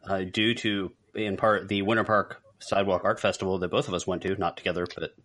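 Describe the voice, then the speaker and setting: A man's voice: low voice, level tone, fairly quick, a bit bright. A man co-hosting a podcast, chatting casually. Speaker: podcast co-host. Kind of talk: casual chat.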